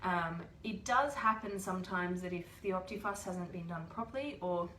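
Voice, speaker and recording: A woman's voice: on the low side, moderate pace, pushed and bright, a little hiss or hum. A woman who has a cold, hosting a casual livestream and explaining things patiently with lots of ums. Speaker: host. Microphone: webcam or phone livestream. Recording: echoey room.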